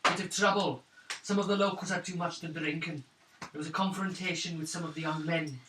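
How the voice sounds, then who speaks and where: A man voicing a shaken, wounded young woman of a wandering clan in a tabletop roleplaying game, speaking haltingly and wearily. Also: a little high, fairly even intonation, close to an everyday voice. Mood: weary, bitter. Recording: roomy, hissy tabletop recording, distant mic.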